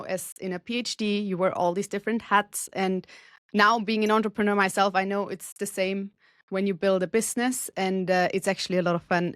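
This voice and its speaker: A woman with a medium-pitched voice hosts a podcast interview. She talks conversationally and affirms her guest as she leads into questions. The recording is dry, with hardly any room sound. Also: no room sound. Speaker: podcast host, entrepreneur.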